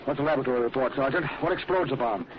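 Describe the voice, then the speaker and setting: An actor playing a busy, irritable police commissioner in an old radio drama, impatient and official in manner. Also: male voice, medium pitch, rapid-fire, close to an everyday voice. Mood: brisk, baffled. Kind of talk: 1940s radio acting. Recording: muffled noisy old radio recording.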